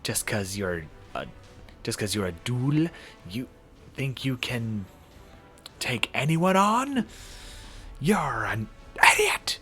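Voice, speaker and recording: A man's voice: mid-range voice, sing-song, slow, long pauses, sharp tone, soft background music, pitched above the performer's own voice, exaggerated voice. A man voicing a brash, short-tempered young fighter in a visual novel, threatening and taunting. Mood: angry, taunting.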